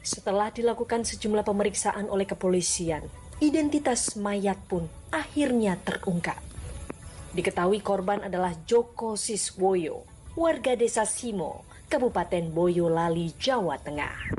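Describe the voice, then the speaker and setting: A woman's voice: mid-range voice, animated, measured pace, darkish tone. Indonesian female crime-report narrator over music.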